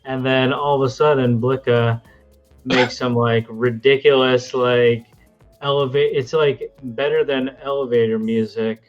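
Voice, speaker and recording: A man with a mid-pitched voice co-hosts a casual livestream. He talks in emphatic, sweary slang. He is on a webcam microphone, with faint music behind him. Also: fairly even intonation, a little slow, highs cut off. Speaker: show co-host. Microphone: webcam built-in microphone.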